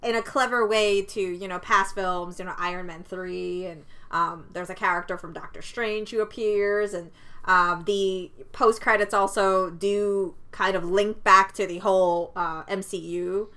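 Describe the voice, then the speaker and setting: Giggly bright-voiced woman chatting about films. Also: medium pitch, steady tone, measured pace. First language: Cantonese. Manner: chatty, laughs often, many restarts. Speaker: film review co-host. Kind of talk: casual chat.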